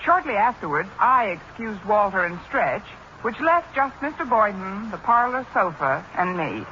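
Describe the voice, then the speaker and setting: An actress playing a quick-witted, sardonic schoolteacher in a 1940s radio sitcom, delivering dry wisecracks. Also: female voice, medium pitch, very animated, sharp tone. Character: wry, quick-witted schoolteacher woman, long-suffering and sardonic. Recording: muffled, noisy 1940s radio recording.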